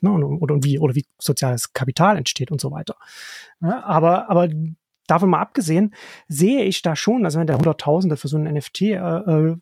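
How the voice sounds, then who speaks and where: A man's voice: a little high, creaky, darkish tone, husky, dry recording, studio quality. A man, talking as a guest on a podcast, thinking aloud with many restarts and "ne?" tag questions. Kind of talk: casual podcast conversation.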